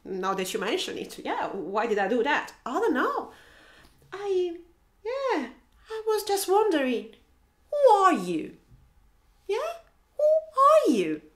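A woman's voice: very high-pitched, huge pitch swings, slow, long pauses, fairly bright tone, pitched above the performer's own voice, exaggerated voice. A woman voicing an eager, impulsive young female hippo in an exclamatory, bouncy way for a children's story. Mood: sheepish, curious. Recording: roomy, echoing space.

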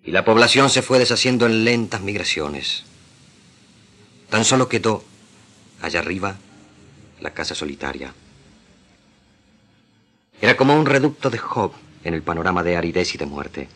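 Deep, forceful Argentine man reading solemnly. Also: lively intonation. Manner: solemn, deliberate, declamatory. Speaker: radio drama actor reading literature. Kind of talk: dramatic literary reading for radio.

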